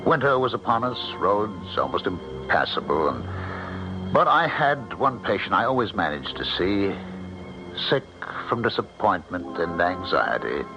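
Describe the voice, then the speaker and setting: Low, expressive country doctor. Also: male voice, a little slow, exaggerated voice. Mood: somber narration. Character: concerned small-town doctor telling a mystery, calm and probing. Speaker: radio drama narrator and actor. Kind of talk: dramatic first-person narration and dialogue, 1970s radio. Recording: dull 1970s radio recording. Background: music.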